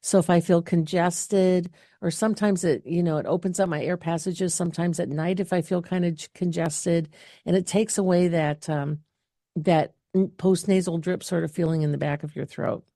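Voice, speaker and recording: Low, mellow-voiced woman host chatting. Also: fairly even intonation, normal speed, no room sound, clean studio sound. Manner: chatty, rambling with many fillers and restarts. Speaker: health clinic host answering viewer questions. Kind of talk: casual live Q&A.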